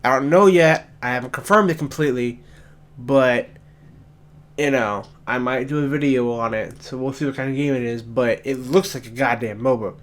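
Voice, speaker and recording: A young man with a raspy, just-woken-up voice, talking bluntly and swearing as he rants in a casual solo commentary. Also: mid-range voice, normal speed. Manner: blunt, ranting, swears often. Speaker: gaming commentator.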